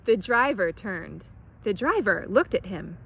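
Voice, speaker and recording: Animated woman reading a storybook. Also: medium pitch, a little slow, gravelly, breathy edge. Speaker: storytime reader. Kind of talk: reading a children's storybook aloud.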